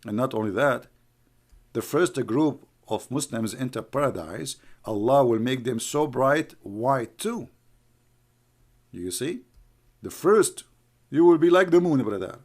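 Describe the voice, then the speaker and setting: A man's voice: medium pitch, expressive, unhurried, long pauses, darkish tone. Scornful man. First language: Arabic. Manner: mocking, scornful, calls names. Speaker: online commentator. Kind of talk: heated argumentative commentary.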